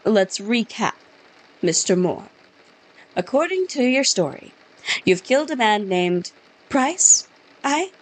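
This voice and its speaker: A woman's voice: fairly high pitch, very animated, takes it slowly, long pauses, exaggerated voice, poor audio. A woman reading game dialogue as a curt, dismissive male police officer, using a put-on Scottish accent. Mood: doubtful. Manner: curt, scornful, brushes off the witness.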